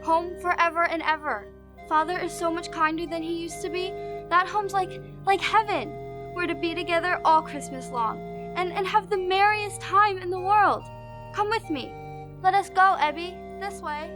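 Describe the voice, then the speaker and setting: A woman's voice: extremely high pitch, normal speed. A woman playing an affectionate young sister in a radio drama, eager, sweet and effusive. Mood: joyful, loving. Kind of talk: old-time radio drama acting. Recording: music behind.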